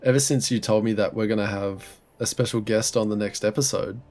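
A man's voice: lowish voice, steady tone, a little fast, darkish tone, faint music behind. A man co-hosting a podcast in casual chat, blocked-nosed with a sore throat, rambling with many restarts and swearing. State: congested with a head cold, sore throat. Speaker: podcast co-host.

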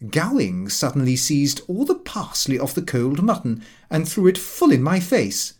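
A man's voice: on the high side, huge pitch swings, moderate pace, a little mellow, husky. A man narrating a comic first-person audiobook in a prim, precise, slightly aggrieved manner.

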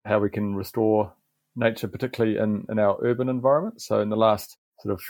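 A middle-aged man with a New Zealand accent, a garden manager, explaining in a relaxed podcast interview with frequent restarts. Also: lowish voice, fast, dry recording.

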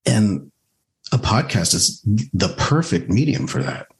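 A man hosting a podcast and asking interview questions in a measured way. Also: on the low side, very animated, gravelly. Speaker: podcast host.

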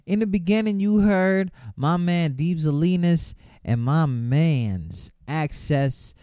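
High-voiced man hyping a radio show. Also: takes it slowly, dark tone, clean tone, dry recording, studio quality. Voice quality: high-pitched voice. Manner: slangy, repetitive catchphrases. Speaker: online radio DJ host. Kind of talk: hype radio shout-outs over music. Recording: music playing under the voice. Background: music.

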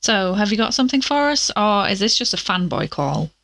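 A woman's voice: mid-range voice, very animated, brisk, vocal fry, fairly bright tone, dry recording. A wry, impatient young investigator in an audio drama, played by a woman. She has a mid-range voice in a dry, close recording. Her delivery is blunt and teasing, as between old friends. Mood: brisk, wry. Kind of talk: naturalistic audio drama acting.

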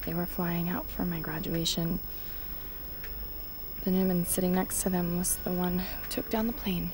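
A woman's voice: lowish voice, flat delivery, moderate pace, background noise, close to an everyday voice. An actress in an audio drama playing a young female newspaper reporter who talks in an earnest, candid, conversational way. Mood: earnest, somber.

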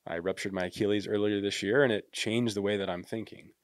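A man's voice: fairly low pitch, fairly even intonation, a little fast, no room sound, clean studio sound. A man hosting a podcast, talking conversationally and often ending points with tag questions. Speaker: podcast host. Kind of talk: casual interview talk.

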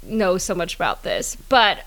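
A woman's voice: lowish voice, very animated, a bit bright. A woman hosting a podcast, chatting casually and joking playfully with her guests. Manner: playful, quick to joke. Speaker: podcast host. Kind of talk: casual chat.

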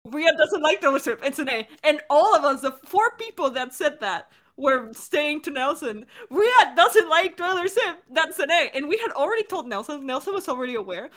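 A woman's voice: high voice, sing-song, normal speed, few pauses, fairly bright tone. A woman co-hosting a podcast, chatting casually in a giggly, playful way. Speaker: podcast co-host and writer. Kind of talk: casual Q&A chat.

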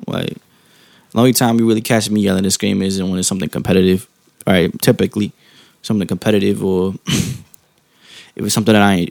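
A man with a low, mellow, soft-spoken voice talks casually and calmly, thinking aloud, rephrasing as he goes and checking in with the listener in informal slang. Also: vocal fry, no echo, clean studio sound. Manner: calm, informal slang, halting rephrasing with frequent tag questions. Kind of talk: casual reflective monologue.

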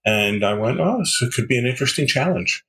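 An older man answering interview questions as a guest, explaining in a measured way with pauses between phrases. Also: mid-range voice, expressive, bright, forceful tone. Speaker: podcast guest, sales executive.

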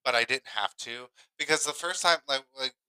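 Bright, forceful man telling casual stories. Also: medium pitch, normal speed, smooth, no room sound, rough recording. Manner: emphatic, repeats himself for emphasis. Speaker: podcast co-host. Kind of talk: casual personal storytelling.

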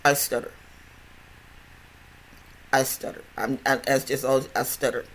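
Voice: mid-range voice, level tone, a little slow, fairly bright tone, low-quality recording. An older podcast host with a stutter, talking in a chatty, rambling way with many restarts and repeated words. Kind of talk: casual health podcast monologue.

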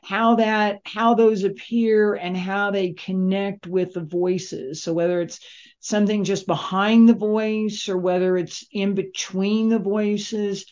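A woman hosting a conversational interview as the interviewer, speaking in a measured way. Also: medium pitch, fairly even intonation, a little mellow. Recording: dull lo-fi audio.

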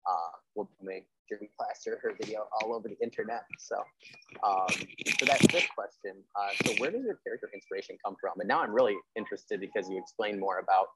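Low-voiced, fast-talking man hosting. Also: highs cut off, heavy background noise. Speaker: podcast host, interviewer. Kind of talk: casual interview chat.

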